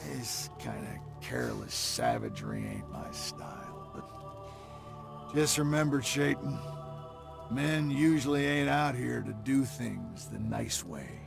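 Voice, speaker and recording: An actor playing a roguish, cynical army quartermaster in a game cutscene, folksy and brash, with an American frontier drawl and a gravelly rasp. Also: male voice, medium pitch, wildly swooping pitch, slow pace, over music, exaggerated voice. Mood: callous. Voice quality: gravelly, raspy. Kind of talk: video game cutscene acting.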